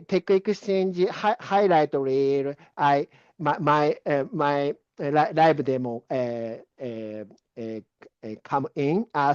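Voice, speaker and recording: A man with a Japanese accent, a guest answering interview questions in halting English full of fillers and restarts. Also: a little high, a little mellow, clear, resonant tone, dry recording, highs cut off. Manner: hesitant, many fillers and restarts.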